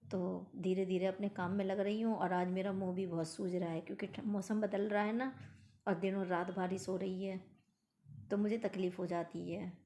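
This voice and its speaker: A middle-aged woman with a hoarse voice, chatting homely to her viewers as she narrates her daily routine. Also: medium pitch, little pitch movement, moderate pace, warm tone. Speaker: vlogger.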